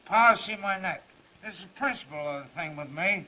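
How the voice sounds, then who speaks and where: Cocky hard-drinking newsman, high voice, wisecracking, muffled vintage movie sound. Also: animated, brisk, pushed and bright, exaggerated voice. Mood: defiant, indignant. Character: brash, cocky, hard-drinking male newspaper reporter. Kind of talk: 1930s film acting. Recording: muffled, hissy 1930s film soundtrack.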